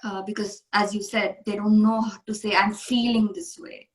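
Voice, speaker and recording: A woman's voice: medium pitch, level tone, moderate pace. Woman from India, host and coach; earnest, emphatic interviewer; roomy, echoey sound. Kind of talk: casual interview conversation.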